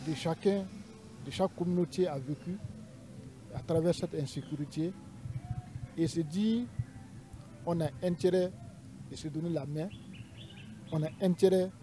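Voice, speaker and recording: A man with a Malian accent answering formally as a panel guest, deliberate and measured, pausing between phrases. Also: fairly high pitch, dark tone.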